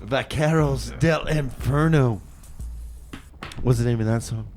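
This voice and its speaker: Man hosting community radio, clearly noisy recording. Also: mid-range voice, a little mellow. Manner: loose, halting, repeats phrases. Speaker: community radio show host. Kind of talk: casual improvised radio banter.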